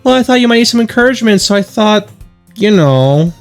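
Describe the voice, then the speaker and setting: A carefree teenage schoolgirl from a visual novel, acted out by a man, sweetly nagging and bubbly. The voice is pushed up high and lilts widely, pleading like a close childhood friend, with faint music behind. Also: lively intonation, a little fast. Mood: coaxing. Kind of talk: visual novel character read-aloud.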